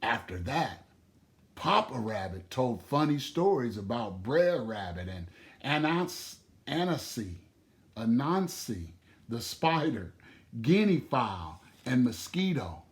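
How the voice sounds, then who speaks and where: A man telling and explaining a children's story in a deliberate, expressive way. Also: medium pitch.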